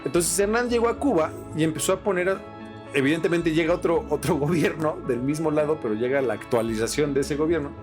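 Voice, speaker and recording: A man's voice: a little high, fast. Slangy Mexican man chatting over music. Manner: slangy, sweary. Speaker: podcast host. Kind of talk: casual explanatory chat. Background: music with guitar.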